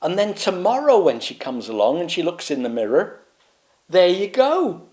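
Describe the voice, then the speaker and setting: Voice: mid-range voice, very animated, normal speed, a little mellow, voice pushed up, lightly acted. The storyteller voicing Sir Forget-a-lot, a forgetful knight who mutters and dithers to himself. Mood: scheming.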